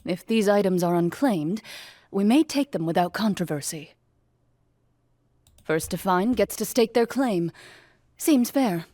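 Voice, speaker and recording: Mellow, composed game woman. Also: medium pitch, lively intonation, a little slow, long pauses, no echo. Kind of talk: video game voice acting.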